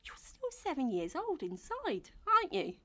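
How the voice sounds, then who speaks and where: A woman's voice: sits high, huge pitch swings, normal speed, fairly bright tone, full character voice. A British actress playing a flustered, stammering, sceptical bride in her thirties in a comedy audio drama. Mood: teasing, affectionate.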